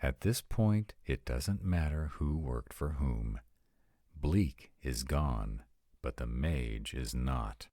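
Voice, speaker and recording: An extremely low-voiced, urbane vampire acted by a man for a fantasy audio roleplay. He speaks formally and unhurriedly, with wide swoops of intonation and a gravelly rasp. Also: expressive, mellow, no echo, clean studio sound, full character voice. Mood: stern, formal. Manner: formal, measured, articulate. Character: arrogant, urbane male vampires, courteous and formal.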